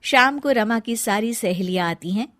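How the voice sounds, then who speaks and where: A woman's voice: fairly high pitch, moderate pace, fairly bright tone. A woman narrating a scripted children's story as a storyteller.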